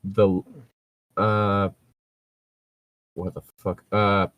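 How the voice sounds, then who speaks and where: A man's voice: fairly low pitch, flat delivery, very slow, fairly bright tone. A man reading fan fiction aloud with casual, exasperated, sarcastic, sweary comic commentary.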